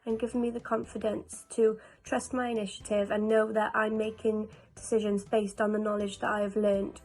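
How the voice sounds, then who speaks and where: A woman's voice: medium pitch, level tone, normal speed, a little mellow. A woman giving an earnest, flowing prepared testimonial as a nursery nurse apprentice.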